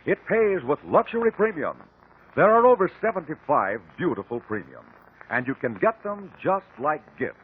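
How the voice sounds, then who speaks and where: Animated American radio ad man, slightly husky. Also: a little high, moderate pace, narrow, tinny audio. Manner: emphatic, selling. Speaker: commercial announcer. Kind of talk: 1950s radio cigarette advertisement, scripted sales pitch. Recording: band-limited old radio recording.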